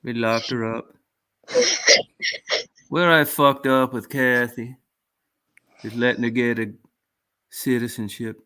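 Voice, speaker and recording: A man from Canada hosting a call-in radio show, blunt and deadpan with crude jokes. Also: medium pitch, takes it slowly, pauses often, clean tone, rough recording. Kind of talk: casual call-in radio chat.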